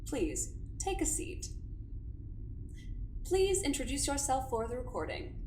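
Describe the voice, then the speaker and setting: An imperious high-voiced corporate investigator. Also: female voice, big pitch swings, takes it slowly, darkish tone, reverberant, slight background noise, full character voice. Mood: politely probing. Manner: formal, precise, wordy, condescending. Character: imperious corporate doctor and investigator, coolly polite woman. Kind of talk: audio drama acting, dictated message and interrogation.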